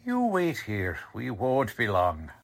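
A woman's voice: rumbling low, huge pitch swings, slow, gravelly, heavily acted. A woman playing a confident, folksy male police lieutenant in a radio drama. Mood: reassuring. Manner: folksy, ungrammatical, reassuring.

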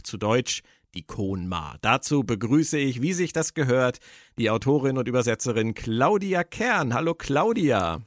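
A man with a lilt hosts a podcast in an easygoing, enthusiastic way. He speaks at a middle pitch, recorded close and dry with no room sound. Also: dry recording. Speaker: podcast host. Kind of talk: casual podcast chat.

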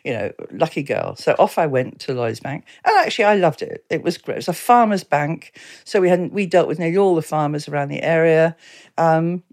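A woman in her seventies from Exeter with an English accent, reminiscing casually and anecdotally as a podcast guest, quick to chuckle. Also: low voice, expressive, darkish tone. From Exeter, England. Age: 70s. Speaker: town mayor, podcast guest.

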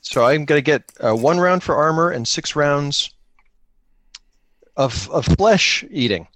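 A man's voice: a little high, a little slow, fairly bright tone, no room sound. A man chatting casually and jokingly with dry humour as he plays an improvised tabletop role-playing game.

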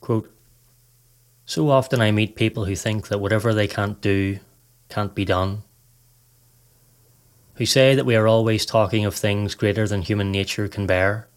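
A man narrating a scripted guided meditation as a podcast host, in a measured, instructional reading manner. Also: lowish voice, steady tone.

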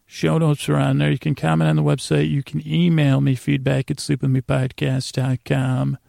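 Very creaky-voiced man rambling gently. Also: mid-range voice, dry recording, studio quality. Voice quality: creaky, gravelly. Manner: meandering, halting, trailing off and circling back; goofy, absurd deadpan nonsense. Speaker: podcast host. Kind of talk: rambling bedtime sleep podcast monologue.

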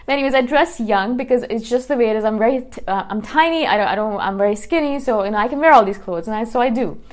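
A woman in her thirties speaks to her viewers in a casual monologue. Her voice sits fairly high. She is earnest, restarts often and keeps checking in with "right?". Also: lively intonation, fast. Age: 30s. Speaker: YouTuber.

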